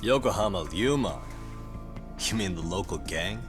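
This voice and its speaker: Casting: low-pitched game detective, calm, dryly wry, patient with kids, music underneath. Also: male voice, normal speed. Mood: cool, unimpressed. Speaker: private detective advising a school club. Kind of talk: video game cutscene acting. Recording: music behind the voice.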